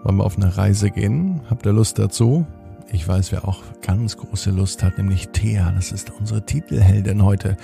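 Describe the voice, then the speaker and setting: A man hosting a children's podcast, narrating a bedtime story in a deliberate way and speaking directly to young listeners. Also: lowish voice, vocal fry, warm tone, faint music behind. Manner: addresses children directly, slow deliberate phrasing with repetitions.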